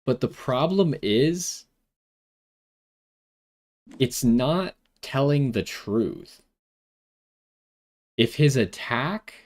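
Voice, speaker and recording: A man giving casual gameplay commentary as the host of a gaming video, thinking aloud as he decides. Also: medium pitch.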